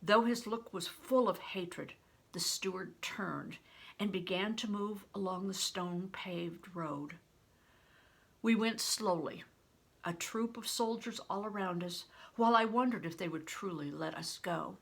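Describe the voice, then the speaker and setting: An older woman, a grandmother, reading a storybook aloud in a deliberate, phrase-by-phrase way. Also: medium pitch, vocal fry, slightly rough. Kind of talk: storybook narration with plain spoken asides.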